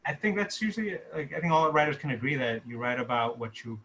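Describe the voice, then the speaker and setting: A man's voice: mid-range voice, lively intonation, fast talker, sharp tone, slight background noise, low-quality recording. A man talking casually in a group discussion as a filmmaker, explaining his own work. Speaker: filmmaker discussing his short script at a table read.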